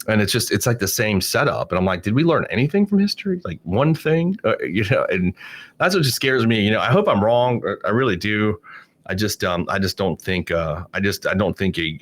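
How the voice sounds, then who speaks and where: A man's voice: sits low, expressive, a little fast. A man in his forties talking politics in a casual podcast chat, rambling with many restarts and fillers. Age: 40s. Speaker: podcast guest.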